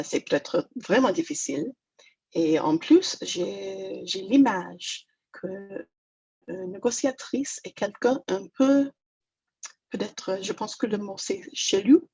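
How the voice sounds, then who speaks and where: A slow, careful woman from Toronto presents a negotiation webinar in her second language. Her English Canadian accent is clear, and her voice carries some gravelly fry and a husky edge. The sound comes over a dull, lo-fi video call line. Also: mid-range voice, expressive, dark tone. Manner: hesitant, many fillers, self-deprecating. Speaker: negotiation trainer.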